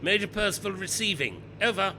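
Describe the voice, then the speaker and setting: A man's voice: high voice, expressive, brisk, noisy background. An actor playing a clipped, menacing British army major in a radio play, obedient to his general but out for revenge. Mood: clipped, eager. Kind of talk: radio play acting, military radio exchange.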